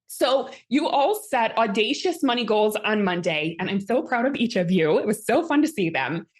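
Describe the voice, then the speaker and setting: A woman's voice: mid-range voice, big pitch swings, moderate pace. A woman in her thirties, a coach leading a live session in an upbeat manner, her voice a little strained from talking a lot. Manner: upbeat, reads out audience comments. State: slightly strained voice, healing. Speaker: host and manifestation coach.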